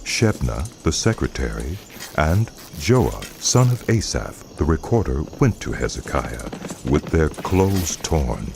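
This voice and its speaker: Narrator, male: extremely low and gravelly, solemn scripture storytelling with lively inflection, noisy outdoor ambience. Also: slow.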